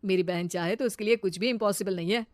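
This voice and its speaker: A woman voicing a proud, affectionate older brother in a cartoon story, gentle and reassuring. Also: medium pitch, fast talker, no echo, pitched below the performer's own voice, close to an everyday voice. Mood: proud, approving. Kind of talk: cartoon moral-story dialogue.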